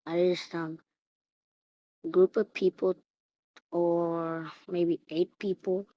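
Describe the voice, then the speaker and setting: A woman improvising an emergency alert announcement in a halting way, often repeating and restarting her phrases, on a lo-fi recording. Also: fairly low pitch, mellow, no room sound. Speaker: emergency alert announcer in a hobby mock broadcast. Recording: dull lo-fi recording.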